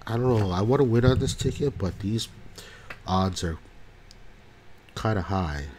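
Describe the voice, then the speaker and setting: A man's voice: on the low side, expressive, a little mellow, a little hiss or hum. A man with a congested voice from a cold, calling out numbers one by one as he scratches lottery tickets. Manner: slow, calls out numbers one by one. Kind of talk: casual commentary while scratching tickets.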